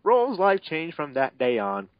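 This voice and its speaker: A man's voice: fairly high pitch, huge pitch swings, a little slow, dry recording. A man narrating fan fiction as a mocking dramatic reading, sarcastic and exasperated, breaking off for asides, on a muffled home recording. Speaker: narrator and reader.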